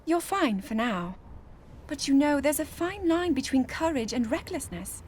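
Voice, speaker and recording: An actress playing an earnest young princess who lectures about nature and research in a game cutscene. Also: female voice, sits high, a little hiss or hum. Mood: serious. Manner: earnest, lecturing, quick to excitement. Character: young adult princess, earnest scholarly type. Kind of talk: video game cutscene acting. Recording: game audio with soft music.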